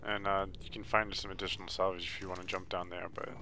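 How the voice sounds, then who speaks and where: A man's voice: low voice, flat delivery, fast, bright, forceful tone, a little hiss or hum. A man giving a casual, matter-of-fact walkthrough commentary on a video game. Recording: game music behind the voice. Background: video game music.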